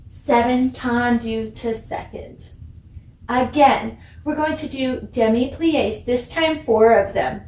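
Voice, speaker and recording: A woman giving an encouraging, deliberate dance lesson for children, demonstrating as she teaches. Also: high voice. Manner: mixes English with simple Spanish phrases. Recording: echoey room, distant microphone.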